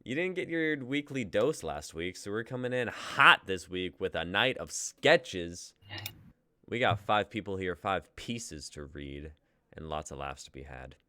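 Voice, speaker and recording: Young male stream host, low-pitched but bright, dry close sound, casual and playful. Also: lively intonation, measured pace, no echo. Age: young adult. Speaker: host of a group streaming show. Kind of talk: casual livestream intro banter.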